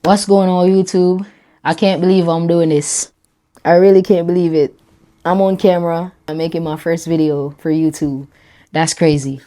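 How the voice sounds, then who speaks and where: A woman's voice: fairly low pitch, level tone, normal speed, dark tone. A young woman with a Jamaican accent, a brand founder chatting reflectively to camera, full of "you know" and "right?". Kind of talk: casual talk to camera.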